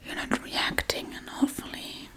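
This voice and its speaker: A woman playing a forgetful, darkly playful witch in a soft-spoken, whispery ASMR role-play, murmuring gently and absent-mindedly. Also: unhurried. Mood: apologetic, musing. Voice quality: breathy, whispery.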